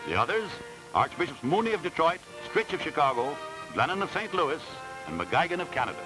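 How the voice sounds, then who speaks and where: Dramatic high-pitched 1940s newsreel man. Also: big pitch swings, fairly quick, music in the background, poor audio. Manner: dramatic, formal announcer delivery. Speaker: newsreel commentator. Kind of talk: 1940s newsreel scripted narration. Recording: vintage 1940s newsreel film soundtrack.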